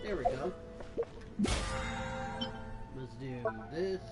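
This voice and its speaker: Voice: mid-range voice, extremely slow. A gaming channel host giving casual, informal gameplay commentary, often muttering to themselves. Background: game music.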